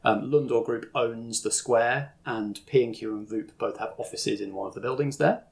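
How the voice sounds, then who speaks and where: A man's voice: medium pitch, normal speed, vocal fry. A man narrating a tabletop roleplaying game as game master, explaining things in an informal way.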